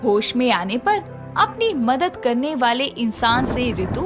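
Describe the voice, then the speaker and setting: A woman's voice: a little high, big pitch swings, slow. A woman narrating a scripted horror story as a storyteller, with music behind her.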